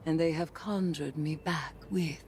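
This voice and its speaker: A low, haunted occult warrior. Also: female voice, steady tone, unhurried, darkish tone, slight background noise. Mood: haunted, intense. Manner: solemn, portentous, breathy intensity. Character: brooding, haunted female occult warrior, solemn and vengeful. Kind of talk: dramatic video game acting.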